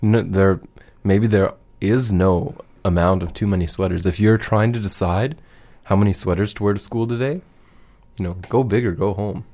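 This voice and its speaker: A man co-hosts a morning radio show in casual banter. His voice sits low, with a dark, mellow tone. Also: normal speed.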